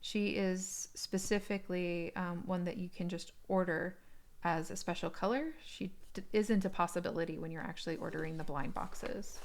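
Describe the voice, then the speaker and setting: A woman's voice: lowish voice, steady tone, normal speed. A woman giving a casual, unscripted unboxing review, describing small details in a hesitant, piece-by-piece way. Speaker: doll collector reviewing an unboxing.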